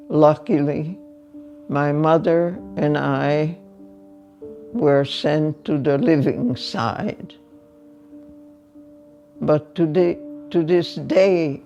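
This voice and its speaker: A woman, giving slow, measured spoken testimony with long pauses. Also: deep voice, vocal fry, warm tone. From Hungary. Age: 90s. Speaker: Holocaust survivor giving testimony. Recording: dull lo-fi sound with faint music underneath.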